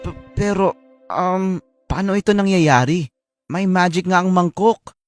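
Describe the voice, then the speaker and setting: A woman's voice: lowish voice, a little slow, faint music behind, natural voice. A woman voicing a cheeky, hungry little brother in a children's fairy tale, teasing and whining. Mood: curious. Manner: teasing, whiny. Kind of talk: children's storybook acting.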